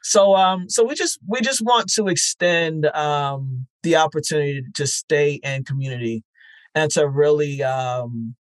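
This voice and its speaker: Earnest man speaking haltingly, close dry sound. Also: a little high, no echo. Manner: earnest, halting, repeats words as he thinks. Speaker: interview guest, festival founder. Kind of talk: reflective conversational interview.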